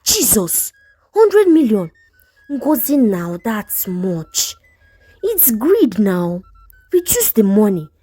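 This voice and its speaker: A woman's voice: mid-range voice, big pitch swings, takes it slowly, warm tone, breathy edge, dry recording, faint music behind, heavily acted. A woman storyteller with a Nigerian accent voicing a young woman, the frank, chiding friend of a scammer. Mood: shocked warning. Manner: blunt, chiding.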